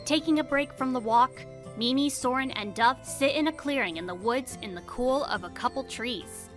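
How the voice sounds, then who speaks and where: A woman's voice: high-pitched, moderate pace, a bit bright, faint music behind. A woman narrating an audio drama in a theatrical, suspenseful storytelling style.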